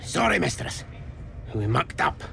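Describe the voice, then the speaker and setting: Croaky, cringing goblin minion. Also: male voice, on the high side, big pitch swings, unhurried, pushed and bright, soft background music, heavily acted. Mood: pleading, nervous. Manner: rough, colloquial, pleading. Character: cringing lowly goblin minion, crude and desperate. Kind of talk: video game character acting.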